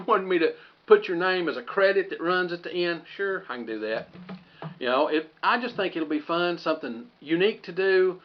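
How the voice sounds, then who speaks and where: A man with a slightly high voice chats to his viewers in a folksy, unhurried ramble, working out his thoughts as he speaks. The recording is a little dull and muffled, like a homemade channel video. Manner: folksy, conversational, thinking aloud. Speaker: host of a homestead channel. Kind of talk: casual rambling vlog talk to viewers.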